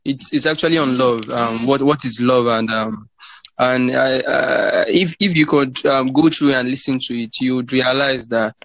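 A man, hosting a casual podcast chat, halting and full of fillers and repeats. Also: medium pitch, fairly bright tone, rough recording. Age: 20s. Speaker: podcast host. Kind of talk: casual conversation.

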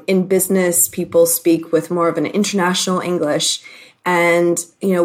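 A woman born in Hong Kong, a communication coach, talking casually as a guest and explaining her ideas with thoughtful pauses. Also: lowish voice. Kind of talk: casual interview.

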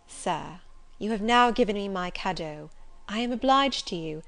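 A woman voicing a composed, polite young governess in an audiobook, measured, formal and candid. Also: medium pitch, animated, a bit bright.

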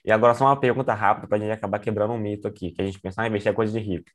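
A man hosting a podcast, chatting informally and briskly with his guest and signing off to listeners. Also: fairly low pitch, steady tone. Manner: brisk, informal, sign-off phrases. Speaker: podcast host. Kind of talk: casual interview chat.

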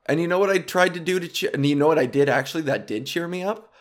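A man's voice: fairly high pitch, fast talker. A man in his thirties hosting a comedic podcast, rambling between tangents with lots of swearing and self-deprecating jokes. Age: 30s. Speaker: podcast host. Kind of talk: casual comedic podcast monologue.

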